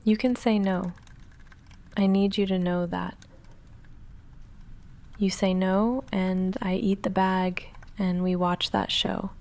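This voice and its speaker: Female goth vampire, played by a woman, intimate ASMR roleplay: breathy, heavily creaky, unhurried and halting, intense but holding back. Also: medium pitch. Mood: dry, incredulous. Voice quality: breathy, often whispering, creaky. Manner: halting, hushed, confessional with dry deadpan asides. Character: female goth vampire roommate, lovestruck, restrained, nervously intense.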